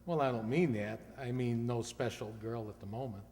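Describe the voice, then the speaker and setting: A man's voice: mid-range voice, moderate pace, darkish tone, lightly acted. A man playing an earnest, polite young contestant on an old-time radio comedy quiz, answering literally and deferentially. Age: 20s. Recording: reverberant hall recording.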